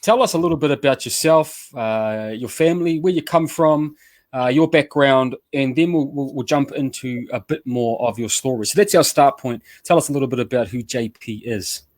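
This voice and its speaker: A man's voice: mid-range voice, normal speed, dry recording. A man with a New Zealand accent hosting a casual radio chat show, upbeat and loose with slang, often restarting his sentences. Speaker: show host.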